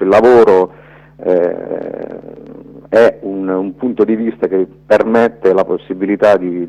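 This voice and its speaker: A man with a deep, dark voice answers interview questions off the cuff. He pauses often, reaching for the right word as he reflects. The sound is dull and low in fidelity, like a modest radio recording. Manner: hesitant, halting, reflective, searching for words. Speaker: documentary filmmaker, interview guest.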